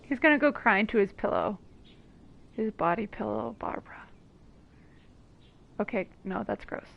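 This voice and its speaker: A woman's voice: mid-range voice, expressive, moderate pace, gravelly, muffled recording. A woman giving casual, playful, teasing commentary over a game playthrough. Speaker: gaming video commentator.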